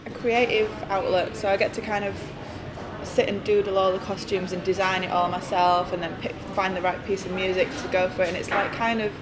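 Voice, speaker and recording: Woman chatting in an interview, medium pitch, noisy room behind her. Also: little pitch movement, fairly quick. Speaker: burlesque performer. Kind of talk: casual interview talk. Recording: clearly noisy room ambience.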